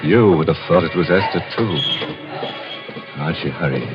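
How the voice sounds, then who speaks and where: An actor playing a macabre, sardonic storyteller in 1940s radio horror, insinuating and mock-sympathetic. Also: male voice, sits low, expressive, normal speed. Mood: ominous, sardonic. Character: macabre, sardonic male storyteller, gleefully ghoulish. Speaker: narrator and host of a thriller series. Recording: muffled vintage radio recording with loud music.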